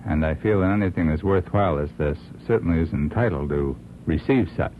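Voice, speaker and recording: An American man giving formal, deliberate remarks as a radio panel guest, in halting phrases. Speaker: panel guest, organization member. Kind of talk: radio interview testimonial. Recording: muffled old radio recording, faint rumbling noise.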